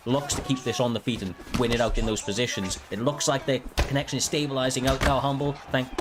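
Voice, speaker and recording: A man commentating a live fighting game match, excitable and losing his voice. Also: mid-range voice, fast talker, noisy background. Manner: excitable, swears when surprised. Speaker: esports commentator. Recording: music playing behind.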